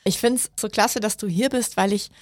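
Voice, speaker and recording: A woman hosting a podcast interview in a studio, speaking clearly and deliberately for language learners. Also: medium pitch, steady tone, no room sound. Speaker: podcast host and integration course teacher.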